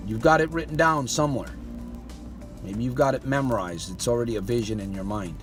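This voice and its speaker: A man's voice: mid-range voice, a little fast, soft background music. A man giving a motivational monologue as a life coach. He keeps firing rhetorical questions at the listener and talks to them in a folksy way, calling them "my friend".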